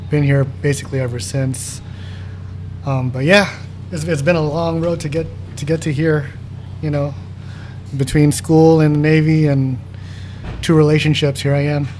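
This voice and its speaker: A man in his forties talks in a loose, friendly way as a podcast guest, drifting into laughs and filler words. His voice sits in an ordinary middle pitch. Faint background noise from a cafe is heard. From San Diego, California. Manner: relaxed, laughs often, trails off with ums. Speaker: guest artist. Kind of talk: casual podcast chat. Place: comics shop cafe.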